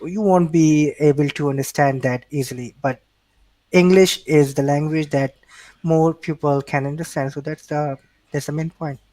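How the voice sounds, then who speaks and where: A Pakistani-accented man whose first language is Urdu talks in a slightly high voice. He stops and restarts his sentences often and fills the gaps with "uh" and "um." The recording is dry, with no room sound. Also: fairly even intonation, moderate pace, dark tone, dry recording. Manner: hesitant, many restarts and fillers. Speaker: interview guest. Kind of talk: casual remote interview.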